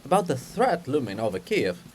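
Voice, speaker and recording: A man's voice: medium pitch, sing-song, moderate pace, slight background noise, natural voice. A man voicing a scheming, fussing male statue of a statesman in a play reading, with a wheedling, explanatory way of talking. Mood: scheming, conspiratorial.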